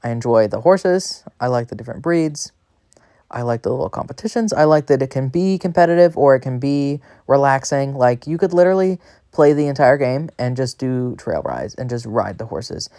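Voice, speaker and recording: A man's voice: mid-range voice, expressive, normal speed, dark tone, clear, resonant tone, no room sound, clean studio sound. A man rambles casually while playing a video game, chatty, self-deprecating and easily sidetracked. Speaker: gaming video creator. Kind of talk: casual rambling gameplay commentary.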